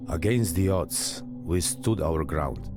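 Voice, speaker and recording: An actor playing a grim, weary tank captain in a war game, speaking in a terse, measured and sombre way. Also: male voice, low-pitched. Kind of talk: dramatic war game acting. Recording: voice under loud music.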